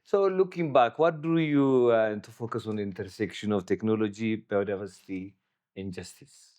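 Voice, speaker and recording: A man's voice: fairly low pitch, normal speed, darkish tone. A man hosting a podcast interview, encouraging his guest with frequent murmurs of agreement. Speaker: podcast host.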